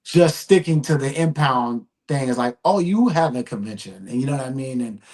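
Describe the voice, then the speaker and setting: A man's voice: medium pitch, moderate pace. Easygoing man with a mid-range voice. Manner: relaxed, rambling with many fillers. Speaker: comic publisher and convention organizer. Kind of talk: casual podcast chat.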